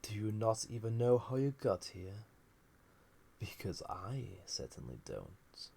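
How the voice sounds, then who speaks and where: Casting: male actor as an ancient banished sorcerous villain for audio roleplay; husky, gravelly, theatrical, with wide swings of intonation. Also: lowish voice, big pitch swings, a little slow, warm tone, heavily acted. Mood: bemused, wry. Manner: musing, theatrical, drifting into breathy near-whispers. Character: ancient banished male villain, magical being, wry, flirty, menacing. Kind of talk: scripted audio roleplay, intimate monologue to listener.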